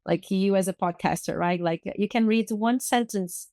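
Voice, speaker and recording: A woman's voice: mid-range voice, normal speed, a little mellow, dry recording, clean studio sound. A woman from Brazil, a native Portuguese speaker with a Brazilian Portuguese accent, talking thoughtfully as a podcast guest and searching for her words. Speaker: guest artist and activist. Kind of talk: podcast interview.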